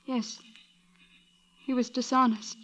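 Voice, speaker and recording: An actress playing a gentle, lovestruck young small-town woman, soft, hesitant and earnest, in old-time radio style. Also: a little high, level tone, takes it slowly. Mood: hesitant, careful.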